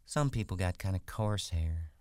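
Man putting on a vain, high-pitched young woman. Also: animated, normal speed, no echo, close to an everyday voice. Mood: complacent. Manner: casual, chatty rustic talk.

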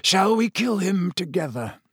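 A man voicing a calm, grimly resolved male friend in an audiobook, with a casual, measured manner. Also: high-pitched, husky, no room sound, studio quality, pitched above the performer's own voice, full character voice. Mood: calm resolve. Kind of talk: audiobook character acting.

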